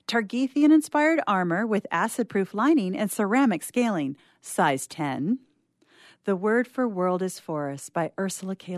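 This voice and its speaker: A woman's voice: medium pitch, no room sound, clean studio sound. A woman giving a scripted comic reading as a performer.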